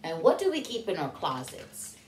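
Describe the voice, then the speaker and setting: A woman in her thirties leading children's story time, reading aloud in an animated, questioning way and stretching out key words for young listeners. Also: fairly low pitch, normal speed, slight background noise. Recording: roomy indoor echo.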